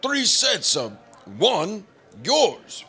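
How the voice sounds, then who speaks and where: A man playing an earnest, halting federal agent in an old-time radio drama, with stammered pauses. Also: sits high, big pitch swings, sharp tone, slightly rough, exaggerated voice. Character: hesitant, earnest male federal bureau agent.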